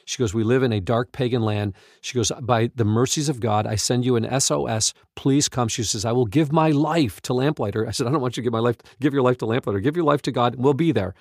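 Earnest man with a gravelly edge. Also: medium pitch, fast talker, hardly any pauses, darkish tone, no room sound, studio quality. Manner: earnest, emphatic, exclamatory. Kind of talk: unscripted conversational talk with testimonies.

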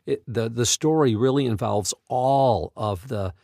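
A man hosting a devotional talk, retelling stories and quoting scripture in an earnest, emphatic, deliberate way. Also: mid-range voice, gravelly, a little mellow, no echo, clean studio sound. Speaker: podcast host and Bible teacher.

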